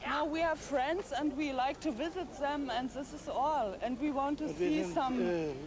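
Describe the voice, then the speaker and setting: A woman in her thirties from East Germany with a German accent, answering haltingly in a second language in a street interview. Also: high voice. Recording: outdoor street, loud crowd.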